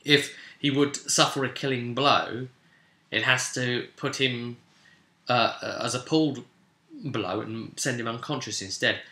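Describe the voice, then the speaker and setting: Bright-voiced man in an echoey room. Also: medium pitch, a little slow. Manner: rambling, conversational asides. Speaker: game master recapping a tabletop role-playing session. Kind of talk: casual spoken story recap, vlog. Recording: roomy, echoing voice recording.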